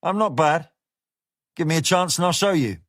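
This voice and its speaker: A man's voice: on the high side, moderate pace, no room sound, studio quality, voice pushed up. A man doing a terse, guarded Englishman. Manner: terse, calm.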